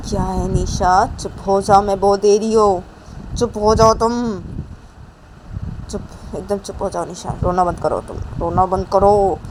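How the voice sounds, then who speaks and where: A woman's voice: mid-range voice, steady tone, measured pace, natural voice. A woman voicing an exasperated mother who scolds a grown daughter insistently and repetitively in an amateur dramatized story. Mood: stern. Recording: faint vehicle noise behind the voice.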